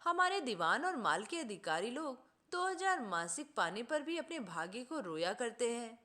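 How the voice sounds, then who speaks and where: Indignant idealist reformer read by a female narrator, storybook dialogue, oratorical and formal, highly varied intonation, higher register. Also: big pitch swings, moderate pace, fairly bright tone. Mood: earnest, indignant. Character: idealistic, indignant man preaching justice and selfless service.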